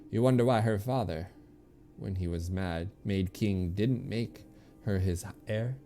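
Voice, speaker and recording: A man's voice: fairly low pitch, unhurried, dark tone, soft background music. A man voicing a kindly, wistful old woman, a former nursemaid and healer, in a story read aloud. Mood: sorrowful.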